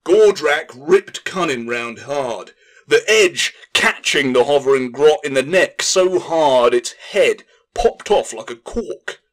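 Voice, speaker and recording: A man's voice: mid-range voice, lively intonation, takes it slowly. A man reading scripted fantasy fiction as an audiobook narrator, in a dramatic storytelling style.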